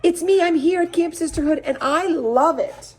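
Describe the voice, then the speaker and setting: Woman voicing an excited, cheerful young camp girl. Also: very high-pitched, normal speed, voice pushed up. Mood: happy, excited.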